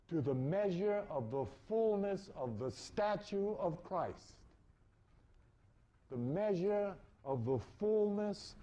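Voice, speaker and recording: A man with a high-pitched voice delivers a spiritual sermon at a very slow pace. He is deliberate and emphatic, circling back to repeat key phrases. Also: mellow. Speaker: spiritual preacher. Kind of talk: esoteric sermon.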